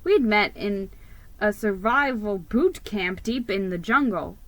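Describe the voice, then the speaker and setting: A woman giving a theatrical, expressive reading of a children's story as its narrator. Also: mid-range voice, slow, no echo. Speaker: story reader.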